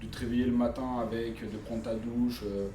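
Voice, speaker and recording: A man's voice: mid-range voice, level tone, moderate pace, reverberant, over music. A man who works as a tattoo artist, talking casually and loosely, rambling and checking in with the listener often. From Montreuil. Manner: loose, rambling, frequent "tu vois". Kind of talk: casual podcast chat.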